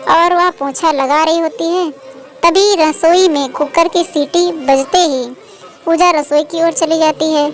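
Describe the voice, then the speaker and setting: Woman, high-pitched voice, scripted storytelling, channel host. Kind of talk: scripted moral story narration.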